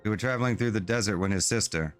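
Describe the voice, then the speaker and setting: A man voicing a tired, grieving middle-aged father, reading the lines slowly in a low voice. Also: close to an everyday voice. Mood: weary. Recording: faint music behind the voice.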